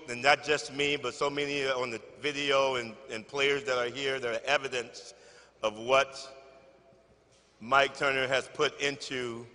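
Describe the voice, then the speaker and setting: Man, loud voice, bright tone, off-script speech, fillers, gym reverb. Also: medium pitch. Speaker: ceremony speaker. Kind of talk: informal ceremony speech. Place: gymnasium.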